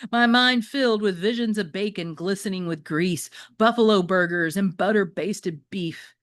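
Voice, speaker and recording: A woman voicing a wry, wistful three-legged shelter dog who loves food, telling a children's story in an expressive, conversational way. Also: medium pitch, normal speed, dry recording, studio quality, natural voice. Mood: eager, hungry. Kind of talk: first-person children's novel read aloud.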